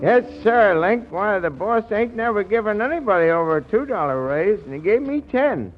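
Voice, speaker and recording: A man's voice: high voice, lively intonation, moderate pace, faint music behind. An actor playing a proud, folksy working-class family man in 1940s radio comedy, eager and delighted. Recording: thin, narrow 1940s radio recording.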